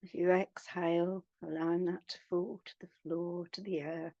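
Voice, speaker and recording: A woman guiding a meditation in a soothing, hushed manner, leaving long pauses between phrases. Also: lowish voice, flat delivery, no room sound, lo-fi sound. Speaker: meditation guide. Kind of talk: guided meditation.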